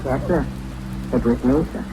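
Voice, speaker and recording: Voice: medium pitch, normal speed, close to an everyday voice. An actor in old-time radio comedy playing an eager, naive, respectful young lad who asks things in an earnest, wide-eyed way. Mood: earnest, puzzled. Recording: very noisy old radio recording.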